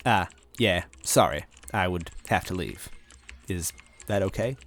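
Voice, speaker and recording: A man's voice: deep voice, expressive, measured pace, fairly bright tone, full character voice. Man playing an anxious, kind-hearted young hero. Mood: apologetic. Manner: apologetic, hesitant, earnest. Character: kind, anxious man searching for his brother.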